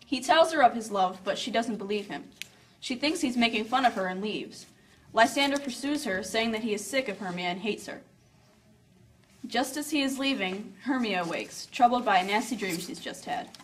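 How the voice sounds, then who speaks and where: A woman with a fairly high voice narrates a scripted story, dropping in playful asides. She sounds a little removed from the microphone, with faint noise behind her. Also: lively intonation, moderate pace. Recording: slightly distant, faint background noise.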